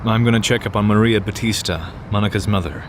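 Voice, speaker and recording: A gruff police detective played by a male actor speaks in a lowish male voice. He is guarded and weighed down by grief, in the manner of an audio crime drama. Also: fast, background noise, natural voice. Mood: businesslike.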